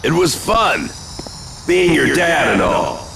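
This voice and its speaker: An actor playing a cocky, taunting but gruffly fond middle-aged father and warrior in a fantasy video game, with a gravelly voice and a swaggering, blunt manner. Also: on the high side, big pitch swings, slow pace, background noise, exaggerated voice. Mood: teasing, fond.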